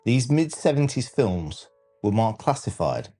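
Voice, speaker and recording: A man narrating a scripted countdown documentary with a measured, dramatic delivery. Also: mid-range voice, big pitch swings, dark tone. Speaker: narrator and channel host.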